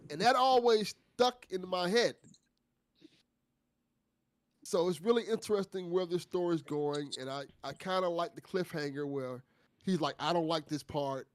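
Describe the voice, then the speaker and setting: Male co-host, slightly high register, very dry sound, thoughtful and conversational as he shares his take. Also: moderate pace, dry recording. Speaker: after-show co-host. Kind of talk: casual discussion and commentary.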